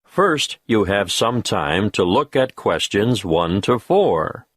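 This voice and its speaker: A man narrates exam instructions in a formal, announcer-like way. He speaks slowly and deliberately with an expressive intonation, and the sound is dry and close. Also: lowish voice, slightly rough, no echo.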